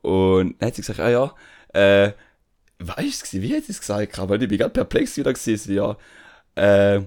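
A young man with a Swiss German accent tells a story on a podcast. His voice sits a little low, and he talks in a relaxed, slangy way with plenty of hesitations. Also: normal speed. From Switzerland. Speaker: podcast host. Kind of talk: casual chat.

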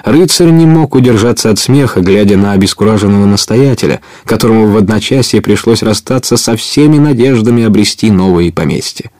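A man narrating an audio play as a scripted adventure story, in an expressive storytelling manner. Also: fairly low pitch, brisk, few pauses, dry recording, studio quality.

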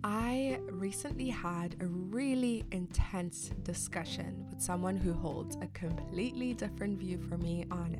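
A young woman from Zimbabwe speaks in a lowish, gravelly voice with a candid, measured tone. She delivers a scripted personal reflection as a podcast host. A loud music bed plays under her. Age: young adult.

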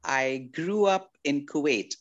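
Woman in her 60s, from India, unhurried delivery, affectionate, family interview guest. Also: deep voice, dull audio, heavy background noise. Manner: affectionate, calls people darling.

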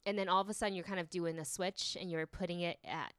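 A woman who grew up in Taiwan chats casually on a podcast. She is animated and acts out what people said. Her voice is smooth and resonant, recorded dry and close. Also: fairly low pitch, quick pace, dry recording. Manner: animated, acts out quotes, laughs while talking. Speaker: podcast co-host. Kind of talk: casual chat.

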